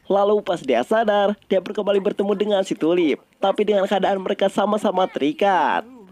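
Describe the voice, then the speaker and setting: A man's voice: high-pitched, brisk, a little mellow. A man with an Indonesian accent narrating a story recap in an informal, scripted style.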